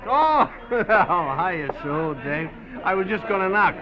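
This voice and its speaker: An actor playing a chummy, pushy neighbor in the middle of a party in a 1950s radio drama, calling out loudly from off mic. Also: male voice, sits high, big pitch swings, slow, crowd noise, full character voice. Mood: jovial. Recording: muffled 1950s radio broadcast heard from off mic.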